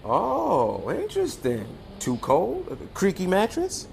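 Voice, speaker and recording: An actor playing a gossipy, teasing small-town ticket clerk in a radio play, chatty and prone to mumbled asides. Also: male voice, high-pitched, huge pitch swings, slow, creaky, darkish tone, slight background noise, exaggerated voice. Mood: needling, smug.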